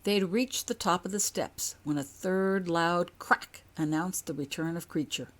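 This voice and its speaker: A woman's voice: lowish voice, a little slow. A woman narrating a scripted story as an audiobook, reading her own fiction.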